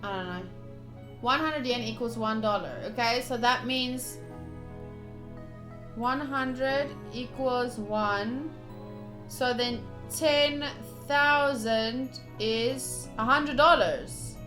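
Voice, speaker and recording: A young woman with a fairly high voice narrates a game playthrough. She reads on-screen text expressively and drops into chatty asides, with game music behind her. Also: slow. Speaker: game streamer. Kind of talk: playthrough commentary, reading game text aloud.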